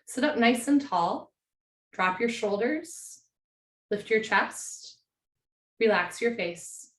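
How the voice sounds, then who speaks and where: A woman with a hoarse voice from a cold, teaching a yin yoga class from home in a slow, gentle, soothing way. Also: on the high side, expressive, echoey room.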